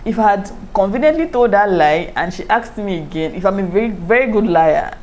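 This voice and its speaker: A woman with a Nigerian accent, chatting casually to her viewers in a lively way and often breaking into laughter. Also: mid-range voice, brisk. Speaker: YouTuber. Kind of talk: casual review chat to camera.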